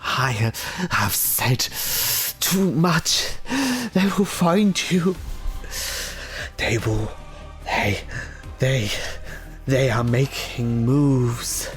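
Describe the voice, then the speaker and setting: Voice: medium pitch, extremely slow, long pauses, faint music behind, voice pushed up. A reader voicing a menacing, hissing alien creature in an audiobook drama. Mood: pained, warning.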